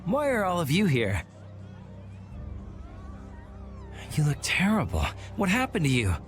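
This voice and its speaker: A courteous, concerned townsman in a game cutscene. His voice is fairly high and expressive, over soft music. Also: a little fast.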